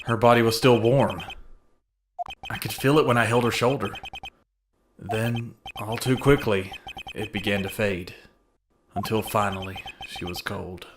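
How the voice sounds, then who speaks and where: A man's voice: on the low side, a little slow, pauses often, background noise, close to an everyday voice. A man playing an earnest, jumpy young defense attorney in a dramatic game fandub. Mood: grieving, subdued. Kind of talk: dramatic game fandub, inner monologue and dialogue. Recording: music under the voice. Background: game music.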